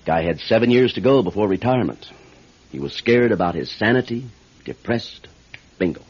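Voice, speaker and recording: An actor playing a cynical, matter-of-fact desk official in a radio drama, brusque and offhand, with a husky voice. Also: male voice, fairly low pitch, lively intonation, moderate pace. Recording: dull old radio recording.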